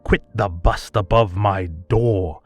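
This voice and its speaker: A man voice actor giving a theatrical poetry reading, swinging between hushed murmurs and anguished cries. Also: on the low side, takes it slowly, breathy edge, soft background music. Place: home studio.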